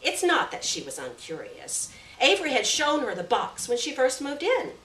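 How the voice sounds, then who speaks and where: A woman reading a literary short story aloud at a live event, with measured, deliberate phrasing and stressed words. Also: sits high, wildly swooping pitch, sharp tone. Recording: distant roomy live recording, faint noise.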